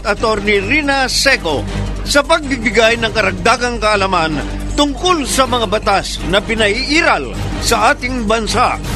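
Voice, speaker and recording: A Filipino man announcing radio drama credits in a formal scripted style. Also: high-pitched. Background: music.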